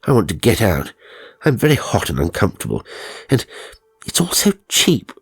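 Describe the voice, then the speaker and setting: A man voicing a good-natured but irritable gentleman spirit, proper and emphatic, in a ghost story. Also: fairly low pitch, wildly swooping pitch, normal speed, vocal fry, breathy edge, heavily acted. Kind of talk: dramatised audiobook ghost story.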